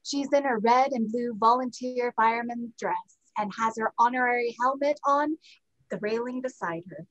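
A woman's voice: fairly high pitch, moderate pace, fairly bright tone, highs cut off. A woman reading stage directions as scripted narration over a video call. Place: home room on video call.

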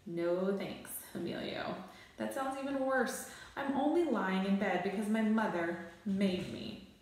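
A woman's voice: medium pitch, a little slow, a little mellow, far from the mic, close to an everyday voice. Woman voicing a pompous boy detective, very echoey. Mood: dismissive. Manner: grandiose, lofty declarations. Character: pompous, bossy, self-assured boy detective. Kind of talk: children's storybook reading. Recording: very echoey room.

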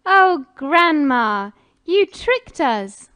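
A woman acting as an eager young American girl in a children's cartoon, her high voice swinging up and down brightly with hope. She speaks in slow, careful phrases. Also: big pitch swings, heavily acted. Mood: surprised.

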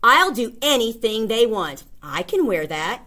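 A woman's voice: a little high, very animated, unhurried, sharp tone, exaggerated voice. A woman voicing an eager, candy-crazed young boy in a storybook, exclaiming and pleading. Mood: amazed, eager. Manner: exclaiming, emphatic.

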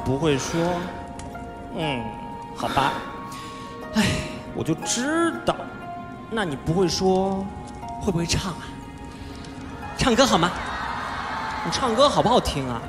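A male voice actor playing a playful, chatty, feverish young swordsman in a live audio drama, whiny and coaxing with drawn-out words. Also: high-pitched, very animated. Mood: teasing, pleading. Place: large theatre stage, indoors. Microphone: handheld microphone. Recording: echoey large hall, music behind.

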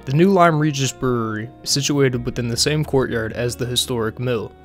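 A young man reading scripted documentary narration in a measured, formal way. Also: medium pitch. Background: soft plucked guitar music.

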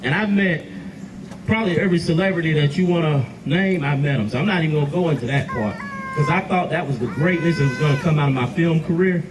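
A man's voice: fairly high pitch, fast. Man giving an encouraging talk outdoors. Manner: earnest, encouraging. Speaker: event speaker. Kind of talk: motivational speech to youth. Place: outdoor stage under a tent. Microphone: handheld mic, far camera. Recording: distant camera, noisy outdoors.

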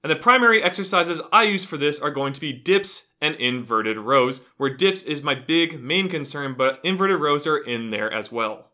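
A young man giving an upbeat, steady review of home gym equipment to camera from a small home gym room. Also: a little high, moderate pace, hardly any pauses. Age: young adult. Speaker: fitness youtuber.

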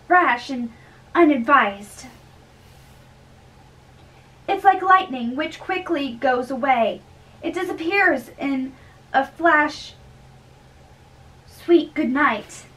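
A young woman giving an amateur dramatic reading of a play, theatrical and a little halting, recorded in a small bedroom. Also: sits high, a bit bright. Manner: theatrical, halting as she reads. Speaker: amateur performer. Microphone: camera a few metres away. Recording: distant mic with background hiss.

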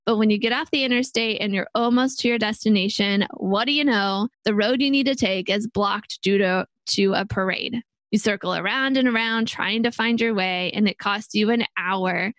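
A woman's voice: mid-range voice, fairly bright tone, no echo. A woman co-hosting a personal finance show, walking through a worked example step by step in casual chat. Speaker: podcast co-host.

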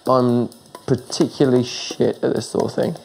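A man's voice: mid-range voice, fairly even intonation, normal speed, vocal fry, music in the background. A young man in his twenties, tired and anxious, talking candidly at home during a house renovation. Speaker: renovating homeowner. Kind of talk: candid reality TV talk. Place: indoors at home.

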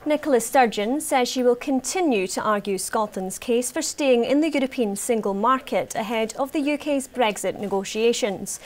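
A woman's voice: a little high, a little mellow. A Scottish woman in her thirties reading scripted news formally as a studio presenter. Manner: formal, measured delivery. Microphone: lapel mic.

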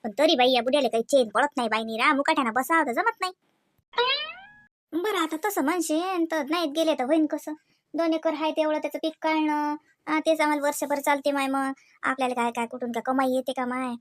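A woman's voice: high-pitched, steady tone, fairly quick, dry recording, close to an everyday voice. A woman voicing a proud, grumbling elderly village mother-in-law in a cartoon, complaining colloquially with a Vidarbha Marathi accent. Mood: weary grumbling. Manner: colloquial, complaining, rhetorical questions. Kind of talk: cartoon comedy dialogue.

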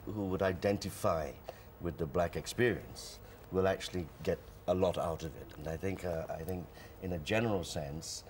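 Older man, Trinidadian accent, very low voice, emphatic, TV studio guest, lapel mic. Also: normal speed, few pauses, a little hiss or hum. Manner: emphatic, repeats words for stress. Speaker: actor, interview guest. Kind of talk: casual TV interview talk. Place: TV studio sofa.

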